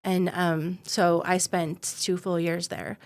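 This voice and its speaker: A woman in her forties from the United States, talking about her own life in a casual interview. Her voice sits a bit low, and she keeps it loose, with frequent fillers and easy laughter. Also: little pitch movement, takes it slowly. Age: 40s. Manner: easygoing, laughs often, many um fillers. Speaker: guest, defense company founder. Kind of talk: conversational interview storytelling.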